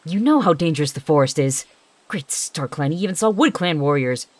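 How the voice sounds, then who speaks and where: A woman's voice: low voice, lively intonation, normal speed, dry recording. Woman as a stern she-cat mentor, scolding. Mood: cold scolding. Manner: scolding, clipped questions. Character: stern, short-tempered adult female cat mentor.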